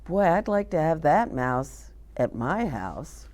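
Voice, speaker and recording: Animated, unhurried woman reading to children. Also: low voice. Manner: expressive, chatty asides to young listeners. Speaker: children's storytime host. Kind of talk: reading a picture book aloud to children.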